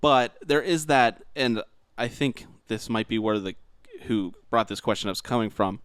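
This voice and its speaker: A man's voice: medium pitch, fairly even intonation, normal speed, a bit bright, smooth, dry recording. A man co-hosting a podcast in a casual, conversational chat style. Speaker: podcast co-host.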